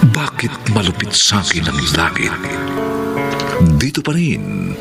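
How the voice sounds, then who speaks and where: A Filipino man announces a radio drama formally and slowly. His intonation swings very widely, and loud theme music plays under his voice. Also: sits low, wildly swooping pitch, vocal fry.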